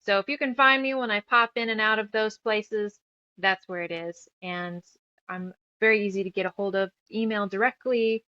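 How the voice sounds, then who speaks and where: A woman's voice: medium pitch, fairly even intonation, moderate pace, no room sound, dull audio. A woman chatting casually as an interview guest, talkative and self-deprecating. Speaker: guest author and musician.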